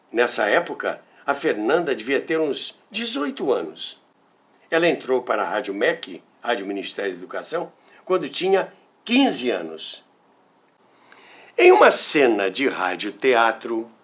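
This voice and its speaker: Slow, expressive Brazilian man telling stories. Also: mid-range voice. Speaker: radio history podcast host. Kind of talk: scripted storytelling narration.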